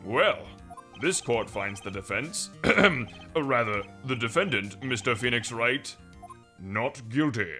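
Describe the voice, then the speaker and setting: Older male judge for a game, acted by a man: pompous, formal, befuddled, bright forceful tone, animated pitch, faint music. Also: slow. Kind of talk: fandub voice acting of a visual novel game.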